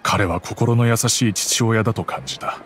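An actor playing a gentle, regretful middle-aged merchant father who calmly recounts the past in a game cutscene. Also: fairly low pitch, normal speed, slight background noise, lightly acted. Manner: calm, reflective storytelling.

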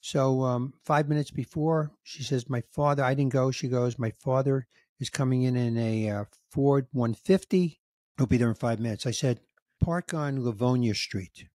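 A man's voice: medium pitch, normal speed, warm tone, dry recording. An older man tells a story on a podcast and acts out the lines of each person in it. His voice sits in a middle register, and the sound is dry and close on a studio mic. He gets emotional at times but keeps the talk casual. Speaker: podcast host, comedian. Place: home room with record shelves. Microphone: studio mic on boom, headphones, video call.

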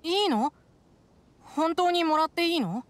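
Earnest, high-voiced little boy. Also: expressive, normal speed. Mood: eager. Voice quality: childlike. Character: earnest, loyal, vulnerable little village boy. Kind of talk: Japanese anime game voice acting.

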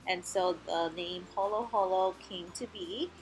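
A woman's voice: fairly low pitch, unhurried, slight background noise, rough recording. A woman from Hawaii, a mother and vlogger, chatting casually in voice-over about her life and cleaning out her car. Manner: chatty, explaining.

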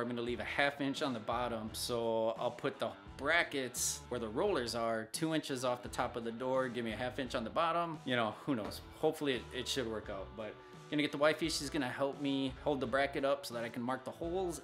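A man's voice: medium pitch, a bit bright. A man in his forties chatting casually to camera in a laid-back, folksy way as he hosts a do-it-yourself vlog. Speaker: host of a DIY review vlog. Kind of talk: casual how-to chat to camera. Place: indoors at home: garage, bar room and bedroom.

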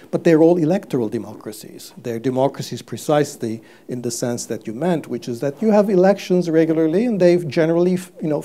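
Halting man, mellow low voice. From Turkey. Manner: halting, many fillers and restarts. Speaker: guest economist. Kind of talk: live stage interview. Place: stage before a live audience. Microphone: lapel mic.

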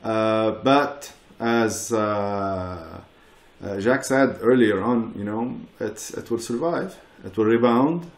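A middle-aged man talking as a panel guest over a video call from his living room, relaxed and peppered with "you know". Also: on the low side, slow pace, echoey room. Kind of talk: casual panel talk.

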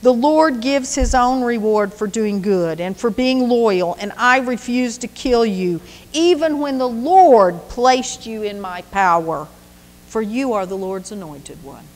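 A woman's voice: on the high side, lively intonation, unhurried, big-room sound. A woman voicing a young male warrior who taunts his enemies in a sing-song, mocking way. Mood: earnest.

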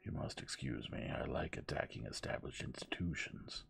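A brash, defiant young man, acted out by a male audiobook reader, insistent and quick to argue. His voice is low and rough, with pitch that jumps about freely. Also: expressive, fairly bright tone, faint music behind, full character voice. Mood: frank, heated. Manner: blunt, argumentative, insistent.

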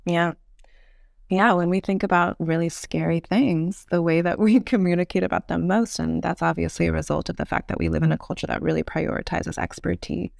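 A woman's voice: lowish voice, fairly even intonation, a little fast, vocal fry, darkish tone, no room sound, studio quality. A woman giving thoughtful, articulate explanations as a guest in an interview conversation. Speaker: podcast guest, urban design expert.

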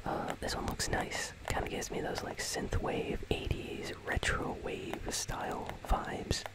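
A gaming host delivers ASMR commentary in a continuous whisper. The voice is breathy and unvoiced, with no full tone. The delivery is soft and casual, rambling gently from one remark to the next. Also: unhurried. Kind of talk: ASMR whispered commentary on a game item shop.

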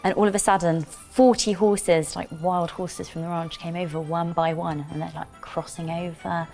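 Bubbly young Londoner actress. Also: female voice, lowish voice, little pitch movement, moderate pace, a little mellow, faint music behind. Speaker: actor. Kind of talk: press interview.

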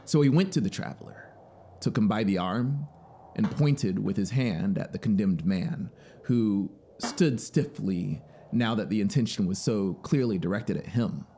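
Animated male storyteller, faint noise behind. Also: lowish voice, unhurried. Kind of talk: dramatic literary narration. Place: small home music studio. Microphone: close studio microphone on boom arm. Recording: faint background noise and ambience. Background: ambient soundscape.

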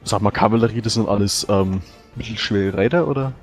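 A man's voice: fairly low pitch, brisk, soft background music. A man playing a tabletop game, talking casually and hesitantly with many fillers. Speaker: player.

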